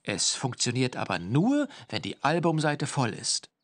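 A man's voice: mid-range voice, huge pitch swings, unhurried, dry recording. A man reading scripted instructions as the narrator of a children's computer game, in an explanatory manner.

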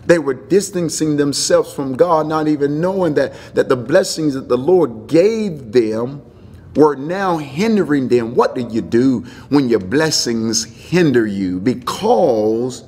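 A man's voice: medium pitch, darkish tone. A middle-aged man preaching a sermon as a pastor, earnest and emphatic, repeating phrases for emphasis. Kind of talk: sermon, Bible teaching.

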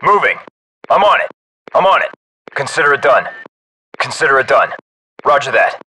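A man's voice: mid-range voice, animated, moderate pace, pushed and bright, breathy edge, rough recording. A man playing a focused, professional special forces squadmate in a game, giving terse, businesslike callouts. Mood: brisk, compliant. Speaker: soldier in squad. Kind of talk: military game radio callouts.

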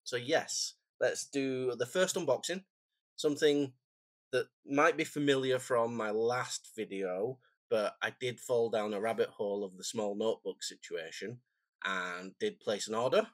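A man talks his way through a notebook unboxing, his voice croaky and phlegmy from a cold. He rambles in a relaxed, self-deprecating way, in a middling pitch. The sound is dry and close, with no room echo. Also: unhurried. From England. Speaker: stationery vlogger. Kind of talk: casual unboxing chat. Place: home desk, indoors.

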